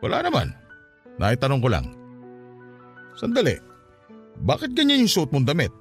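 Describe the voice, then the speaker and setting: An actor playing a suspicious, controlling, stern boyfriend in a Tagalog radio drama, questioning in a domineering way. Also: male voice, fairly high pitch, wildly swooping pitch, moderate pace, soft background music. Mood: stern, disapproving. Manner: interrogating, domineering.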